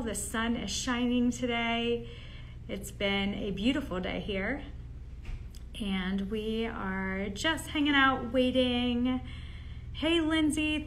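A young woman hosting a live social media session, chatting casually and warmly greeting viewers by name while filling pauses with "um". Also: a little high, a little hiss or hum. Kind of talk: casual live-stream chat.

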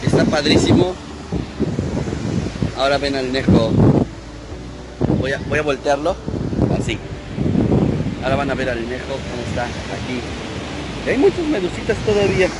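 A man's voice: very animated, normal speed, long pauses. A young man with a Mexican accent chatting casually to the camera as a vlogger outdoors. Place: outdoors on an open windy beach. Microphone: handheld phone at arm's length. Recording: loud wind rumbling on phone microphone.

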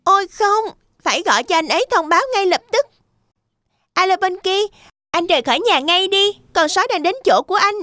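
Youngest pig brother: small, high, brave boyish cartoon voice, dry recording. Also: female voice, animated, fairly quick, sharp tone, no room sound, full character voice. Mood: alarmed. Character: earnest, brave little boy piglet, youngest brother. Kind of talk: children's fairy tale cartoon dub.

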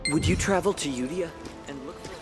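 An actor playing an earnest, gentle priest healer in a video game. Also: male voice, fairly high pitch, fairly quick, natural voice. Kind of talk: video game character acting. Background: music.

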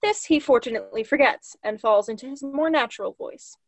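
A woman's voice: high-pitched, brisk, no echo. A young woman reading stage directions as scripted narration for a play. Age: young adult. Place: small room at home, video call.

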